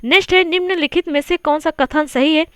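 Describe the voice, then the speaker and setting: High-voiced woman explaining quiz answers. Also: a little fast, dry recording, studio quality. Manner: conversational, repeats points and asks the listener questions. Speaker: exam coaching teacher. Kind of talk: Hindi lesson going through multiple-choice quiz answers.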